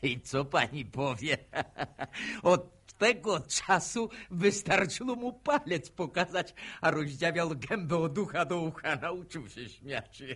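A man's voice: a little high, lively intonation, slow pace, fairly bright tone, breathy edge, heavily acted. An actor playing a smirking, needling village man in a Polish radio drama, mock-gallant and mocking in manner. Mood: gloating, amused. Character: smirking, needling village man, ex-corporal, teasing a courting couple.

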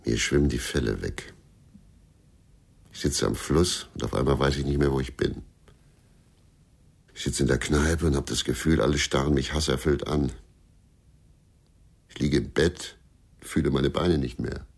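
An actor playing a weary, bitter, hard-drinking former police detective in a radio crime drama, terse and brooding, with a gravelly, husky voice. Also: male voice, rumbling low, normal speed, pauses often, full character voice. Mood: despondent. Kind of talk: radio crime drama acting with inner monologue.

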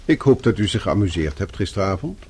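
A man's voice: sits low, very animated, fairly quick, a little mellow, a little hiss or hum. A man acting in a radio play as a stern, middle-aged boss who speaks formally with dry sarcasm. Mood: curt, stern. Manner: formal, clipped, dryly sarcastic. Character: stern, sardonic middle-aged male boss, fatherly and disapproving.

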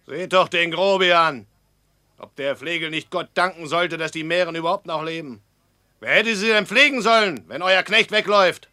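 Sharp, high-voiced insolent castle steward. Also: male voice, moderate pace. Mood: scornful indignation. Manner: sneering, curt. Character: insolent, callous castle steward, scornful and dismissive. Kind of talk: German radio drama acting.

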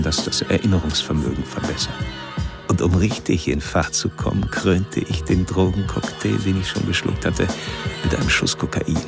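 Radio thriller killer-narrator: low, creaky, cold-blooded, coolly self-important philosopher, confiding and deliberate, over music. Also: male voice. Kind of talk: scripted radio crime drama monologue. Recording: music under the voice.

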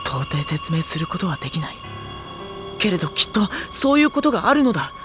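A sensitive high-school boy with a youthful, high voice. He is earnest and anxious, telling his own story as audio drama, with clear music behind him. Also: big pitch swings, fairly quick. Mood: pained, earnest. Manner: earnest, introspective. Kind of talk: dramatic first-person narration and dialogue.

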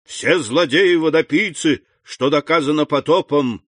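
A man voicing a boisterous, good-natured drunk in a storybook, with a loud drunken voice. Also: a little high, lively intonation, normal speed, no room sound, clean studio sound, pitched above the performer's own voice. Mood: merry defiance. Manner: boisterous, exclaiming.

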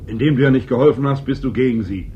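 An actor playing a fervent, uncompromising anti-fascist fighter in a German radio play, insistent and rhetorical. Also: male voice, medium pitch, fast talker, natural voice. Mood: accusing. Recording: dull, faintly noisy radio drama recording.

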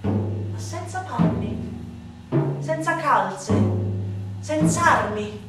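A woman's voice: sits high, expressive, extremely slow. A woman giving a declamatory, emphatic theatrical recitation of a literary monologue, with dramatic swings. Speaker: stage and radio actress performing a literary monologue. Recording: echoey room, distant mic, loud percussion music. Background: timpani and percussion music.

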